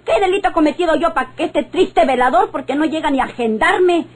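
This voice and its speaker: An actress playing a mouthy, brazen Mexican gossip in a radio serial. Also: female voice, high voice, quick pace, close to an everyday voice. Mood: indignant. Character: brazen, mouthy gossiping working-class woman. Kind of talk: old radionovela acting.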